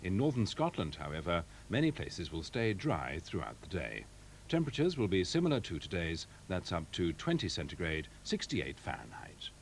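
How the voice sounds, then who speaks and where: A man's voice: deep voice, wildly swooping pitch, moderate pace, gravelly, slight background noise. A man making scripted TV continuity announcements in a formal, carefully phrased manner. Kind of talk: scripted weather and programme announcements.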